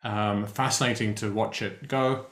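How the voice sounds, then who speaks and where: A man hosting a live interview show over a video call, talking in a relaxed way with many ums and pauses. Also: fairly low pitch. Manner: relaxed, hesitant with many fillers. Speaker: host. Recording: roomy echo on a video call.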